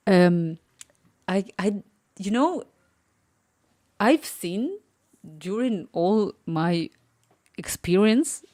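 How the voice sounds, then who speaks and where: A woman's voice: lowish voice, dark tone, dry recording, clean studio sound. A woman in her thirties chatting casually on a live stream, rambling and laughing often. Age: 30s.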